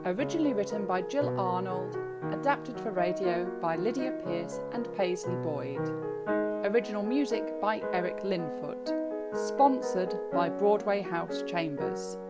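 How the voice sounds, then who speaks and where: A woman's voice: medium pitch, animated, a little slow. A woman announcer reading scripted credits for a radio drama. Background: piano or keyboard music.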